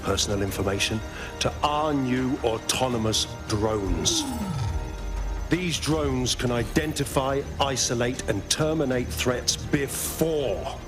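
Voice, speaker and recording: An actor playing a cold authoritarian security chief giving a measured, grandiose presentation speech in a video game. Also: male voice, fairly low pitch. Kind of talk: scripted dramatic presentation speech. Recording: loud music behind.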